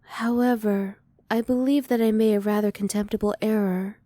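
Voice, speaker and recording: A reserved female alien scientist, acted by a woman for an ASMR roleplay, close and very dry. The voice is mellow and soft, with careful, formal phrasing and a cool, curious restraint. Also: mid-range voice, steady tone, moderate pace, no room sound, lightly acted. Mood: apologetic, restrained. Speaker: voice actor.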